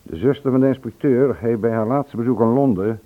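An actor in a Dutch radio play, playing a respectful, careful and apologetic police sergeant. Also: male voice, medium pitch, brisk, close to an everyday voice. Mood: earnest, cautious. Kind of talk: Dutch radio drama acting. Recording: muffled lo-fi old radio recording.

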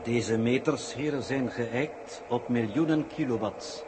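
An actor playing a formal, measured spaceship coordinator in 1960s Dutch radio drama, with a Netherlands accent. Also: male voice, mid-range voice, close to an everyday voice. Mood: instructing, focused. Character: formal, precise male spaceship coordinator, calmly technical. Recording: very noisy old 1960s radio recording.

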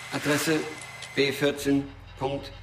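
A man's voice: medium pitch, monotone, slow, music in the background, lightly acted. An actor playing an odd male informant who recites data like a terminal in clipped, impassive phrases, in a radio crime drama. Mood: impassive, reciting. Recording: roomy, distant, noisy with clicks.

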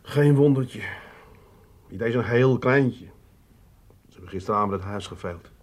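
An actor playing an excitable, easily downhearted middle-aged family man with an Amsterdam accent, plaintive and dramatic in a comic radio play. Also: on the low side, measured pace. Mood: despairing.

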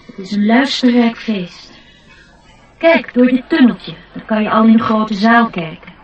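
A woman's voice: on the high side, unhurried, husky, dull audio, soft background music. A woman playing a courteous, proud glow-worm in mourning, formal and wistful, in a radio play. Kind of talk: Dutch radio play acting. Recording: distant-sounding radio play recording.

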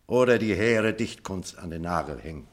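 Bitter middle-aged man, really deep voice, ironic and pointed, literary drama. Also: lively intonation, moderate pace. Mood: bitterly sarcastic. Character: bitter, ironic middle-aged male former pupil and writer. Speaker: actor. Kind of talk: German literary stage drama.

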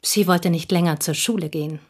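A devoted, sober confidante from a small provincial town in a radio drama. Her voice sits on the low side. She tells things in a measured, precise and wistful way, like someone leafing through memories. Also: husky. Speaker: actor in a radio play. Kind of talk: German radio drama acting, reflective storytelling.

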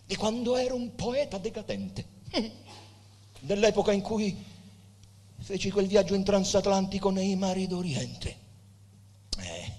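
An actor playing a dying, tender and wistful poet, declaiming emphatically in drawn-out phrases as in a stage reading. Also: male voice, a little high. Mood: nostalgic, regretful. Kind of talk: theatrical stage reading, declaiming verse and narration. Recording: reverberant hall, live guitar behind. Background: Portuguese guitar music.

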